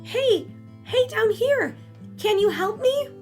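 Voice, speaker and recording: A woman's voice: very high-pitched, animated, slow, a little mellow, soft background music, voice pushed up, full character voice. A woman voicing a lonely, earnest little pumpkin with a plaintive, effortful manner in a children's story. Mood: pleading.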